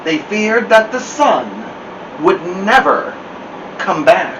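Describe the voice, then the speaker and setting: A man reads a children's story as a host, with expressive and deliberate phrasing. His voice is fairly high, heard at some distance from the mic in a roomy space. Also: background noise. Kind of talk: animated children's picture-book reading.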